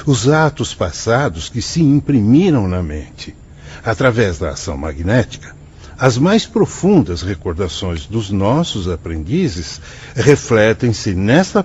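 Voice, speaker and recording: An actor playing a wise, patient priest and mentor in a Brazilian radio drama, husky, solemn and didactic, with a Brazilian accent. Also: male voice, on the low side, a little mellow, slight background noise. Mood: patient, explaining. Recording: dull, muffled studio audio.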